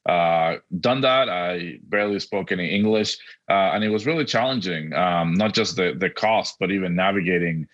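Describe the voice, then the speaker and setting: A middle-aged man from Argentina, a native Spanish speaker, giving earnest, halting interview answers over dull, lo-fi audio. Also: low-pitched, fairly bright tone. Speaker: podcast guest, education technology executive.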